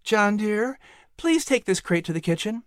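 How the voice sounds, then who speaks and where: A woman voicing a busy colonial mother in an audiobook, firm yet gentle and cheery. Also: medium pitch, expressive, normal speed, no echo, clean studio sound, voice pushed up, natural voice. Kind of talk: audiobook character voice.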